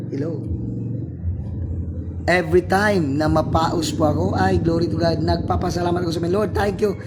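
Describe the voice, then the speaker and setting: A middle-aged man with a Filipino accent and a husky voice, preaching a sermon with decrees and repeated phrases, checking in with the congregation. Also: a little high, steady tone, heavy background noise. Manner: slow, emphatic, repeats phrases and asks the congregation "No? Hello?". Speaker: pastor. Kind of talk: sermon with decrees and call-and-response.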